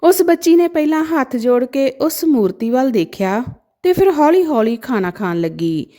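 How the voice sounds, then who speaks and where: Woman, storyteller, soft mellow tone, fairly high pitch, gentle emotive delivery, scripted narration. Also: lively intonation, normal speed.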